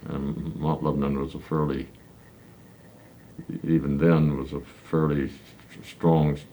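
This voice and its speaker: A man in his nineties from Pennsylvania, recalling his church childhood in slow, halting answers full of hesitant fillers. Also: a bass voice, level tone, warm tone, slight background noise. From Pennsylvania, United States. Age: 90s. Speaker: elder congregant interviewee. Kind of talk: reminiscing interview answers.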